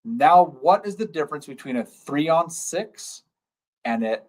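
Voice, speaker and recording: A man's voice: a little high, lively intonation, measured pace, a little mellow, rough recording. A man, a dentist hosting a live stream, explaining in casual chat and repeating key terms to keep things simple.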